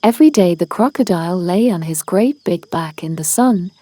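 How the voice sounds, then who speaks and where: A woman's voice: fairly low pitch, lively intonation, moderate pace, warm tone. A woman narrating a children's storybook as a read-aloud. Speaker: storybook reader.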